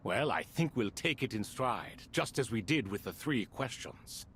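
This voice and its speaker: An actor playing an ancient, grand and wry elder in a video game, speaking in theatrical, courtly phrases. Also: male voice, medium pitch, lively intonation, a little slow, fairly bright tone, a little hiss or hum. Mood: wry, playful. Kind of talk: game voice acting.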